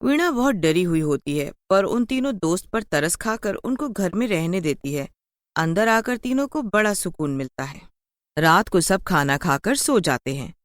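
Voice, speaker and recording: Low-voiced woman narrating a spooky story. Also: animated, moderate pace, no room sound, studio quality. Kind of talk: scripted children's horror story narration.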